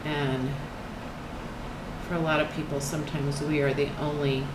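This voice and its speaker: An older woman with a slightly croaky voice, talking casually and softly while she paints, thinking aloud with trailing restarts and sharing a faith reflection. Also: sits low, level tone, moderate pace. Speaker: painting channel host. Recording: echoey room with air-conditioner hum.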